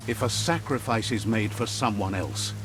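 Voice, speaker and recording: A man's voice: fairly low pitch, normal speed, natural voice. A man playing a wry, philosophical storyteller, narrating a game in a theatrical, storybook style. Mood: solemn. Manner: theatrical, aphoristic. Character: wry, philosophical male storyteller translating for creatures. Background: music.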